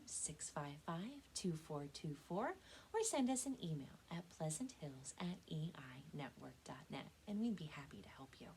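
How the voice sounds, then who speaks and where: A young American woman, a children's librarian telling stories with expressive, dramatic emphasis, recorded indoors on a camera mic. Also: on the low side, slight background noise. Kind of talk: animated storytelling for children.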